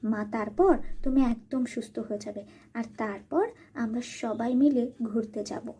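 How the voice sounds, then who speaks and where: A woman's voice: on the high side, steady tone, unhurried, warm tone, husky. A woman voicing an innocent, caring young village girl in a cartoon, speaking in an earnest, childlike, pleading way. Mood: reassuring.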